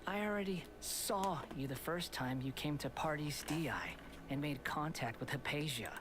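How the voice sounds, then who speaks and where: An actor playing a mocking young male god in a video game, speaking in a hushed, breathy half-whisper with a condescending tone. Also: fairly high pitch, moderate pace, noisy background, lightly acted. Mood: taunting. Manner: taunting, condescending.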